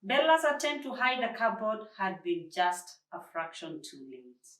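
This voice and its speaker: A woman reading a children's story aloud with deliberate, dramatic phrasing and expressive stress on words. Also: medium pitch. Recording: roomy echo, distant mic.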